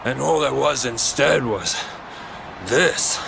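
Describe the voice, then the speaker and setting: Gravelly, alarmed tournament official. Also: male voice, mid-range voice, very animated, background noise, exaggerated voice. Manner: alarmed, halting. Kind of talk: video game voice acting.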